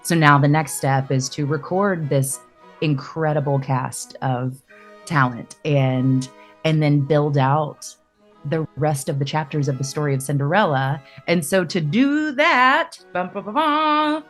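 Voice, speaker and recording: A woman's voice: deep voice, a little slow, soft background music. A woman speaking on a podcast in a casual, promotional chat style.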